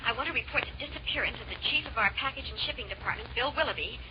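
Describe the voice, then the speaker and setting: An actress playing a flustered, earnest secretary who stammers, in 1940s radio drama style. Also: female voice, fairly high pitch, lively intonation, very fast, close to an everyday voice. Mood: anxious. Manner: hesitant, stammering. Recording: muffled, noisy old radio phone call.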